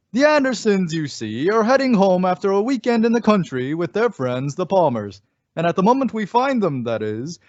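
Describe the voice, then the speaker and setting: A man's voice: sits high, no room sound. A man playing a folksy, wry announcer and narrator in an old-time radio comedy. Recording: dry, dull home recording.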